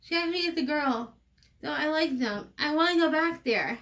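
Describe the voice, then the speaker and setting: A woman's voice: high-pitched, animated, moderate pace, fairly bright tone, recorded from a distance, pitched above the performer's own voice. A woman reading the part of an earnest, homesick young woman in a stage play. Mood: earnest, pleading.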